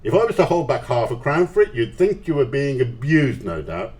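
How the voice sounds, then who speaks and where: A man's voice: medium pitch, lively intonation, fairly quick, darkish tone, natural voice. A man acting a miserly, grumpy old businessman in an audio play, curt, scornful and dismissive. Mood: grudging, irritated.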